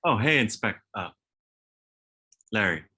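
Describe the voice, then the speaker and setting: A man's voice: fairly low pitch, big pitch swings, takes it slowly, bright, forceful tone, breathy edge, exaggerated voice. A man playing a laid-back, mildly exasperated forensic evidence technician in a comedy table read, dry and a little hesitant. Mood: casual, dry.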